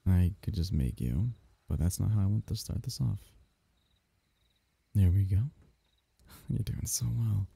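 A man voicing a lonely, doting alien symbiote in an intimate, soothing ASMR roleplay, coaxing its host with a low, gravelly tone. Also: animated, measured pace, long pauses, warm tone, dry recording, heavily acted. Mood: gentle coaxing.

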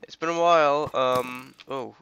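A congested young man talking through a game on a headset mic, chatty and rambling, with sudden excited outbursts. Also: mid-range voice, unhurried, a little hiss or hum. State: congested from illness. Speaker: gaming channel host. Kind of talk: casual let's play commentary.

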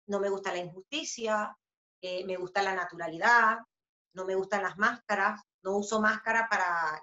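A woman in her thirties with a Venezuelan accent, answering interview questions in a casual, animated way, with many fillers and restarts. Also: medium pitch. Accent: Venezuelan Spanish. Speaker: interviewee, author and journalist. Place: home room with a book poster. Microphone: video call webcam. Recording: roomy, slightly dull webcam audio.

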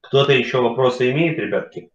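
Man, forties, from kharkiv, hesitant and filler-heavy, roomy echo, dull lo-fi sound. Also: medium pitch. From Kharkiv, Ukraine. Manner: hesitant, many fillers and pauses. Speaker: webinar speaker, company CEO. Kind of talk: casual webinar talk and Q&A. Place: home room, indoors. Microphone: webcam on video call. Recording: roomy, dull video call audio.

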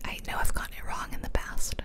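A woman whispering casual, rambling ASMR commentary over gameplay, close to the mic. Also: fast. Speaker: gaming ASMR creator. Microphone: close mic for ear-to-ear whispering.

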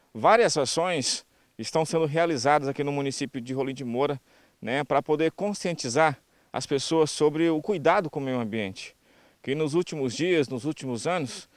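Man, Brazilian accent, field news report, higher-than-average pitch, dry sound. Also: normal speed, dry recording. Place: outdoors in a grassy clearing. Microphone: handheld reporter microphone.

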